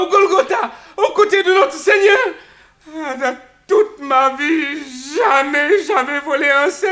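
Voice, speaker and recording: Radio drama role: tormented religious thief, very high, sharp voice, pleading and lamenting. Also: male voice, exaggerated voice. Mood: frantic despair. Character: desperate, guilt-stricken religious man accused of murder. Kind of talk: 1960s French radio drama acting.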